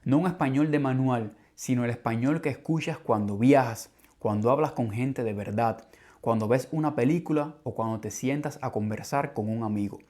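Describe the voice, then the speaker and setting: A man with a Cuban Spanish accent hosts a podcast for Spanish learners. He reads a script calmly and clearly at a measured pace, and his voice sits at an everyday middle pitch. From Cuba. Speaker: language teacher and podcast host.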